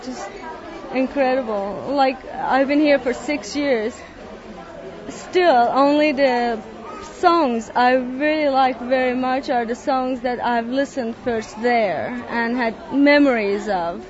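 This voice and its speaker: A young woman from Turkey with a Turkish accent, chatting haltingly and fondly in an interview at a picnic. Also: sits high. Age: young adult. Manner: halting, giggly and fond. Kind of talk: casual interview chat. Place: outdoor picnic crowd. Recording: muffled, crowd noise behind.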